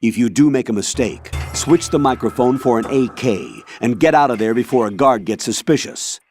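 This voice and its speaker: An actor playing a composed military commander in a video game, giving a firm, deliberate, instructional mission briefing. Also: male voice, medium pitch, animated, darkish tone, close to an everyday voice. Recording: faint game music behind the voice.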